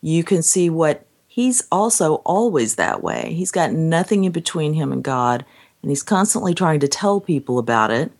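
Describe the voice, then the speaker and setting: A woman with a low voice co-hosts a relaxed podcast conversation. She speaks earnestly and reflectively and laughs easily between her thoughts. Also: moderate pace. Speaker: podcast co-host. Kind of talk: casual chat.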